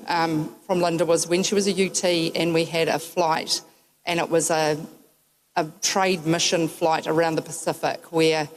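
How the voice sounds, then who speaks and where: A woman's voice: lowish voice, rough recording. A woman with a New Zealand accent giving a memorial tribute, telling wry, humorous anecdotes with frequent ums. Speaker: eulogy speaker.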